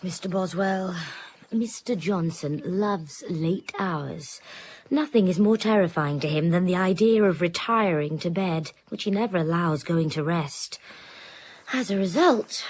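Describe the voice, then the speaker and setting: A witty, genteel hostess of the 1700s speaks in a period radio play, voiced by an actress. Her voice is refined and mid-pitched, with a wry, polished manner. She sounds composed and cultured. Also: a little mellow, close to an everyday voice, low-quality recording. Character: witty, genteel 18th-century hostess and mother. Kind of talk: BBC radio drama acting.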